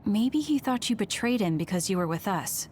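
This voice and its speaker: An actress playing a withdrawn, lonely young soldier heroine in a video game, subdued and halting. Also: female voice, mid-range voice, expressive, a little mellow, a little hiss or hum, close to an everyday voice. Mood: composed. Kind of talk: video game cutscene voice acting.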